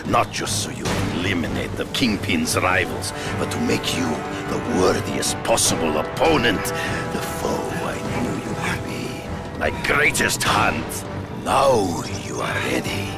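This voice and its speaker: Brief: a formal big-game hunter villain, very low, gravelly, slow and grave over game score. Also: male voice, big pitch swings, loud music behind the voice, full character voice. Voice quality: deep gravelly measured voice. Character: proud Russian hunter villain, man, middle-aged, formal and menacing.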